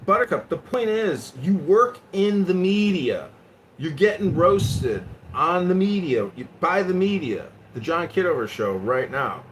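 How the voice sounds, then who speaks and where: A man hosting a live audio chat, roasting his guest in a mocking, provocative way, swinging between loud and quiet. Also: high-pitched, sing-song, unhurried, background noise. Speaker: host.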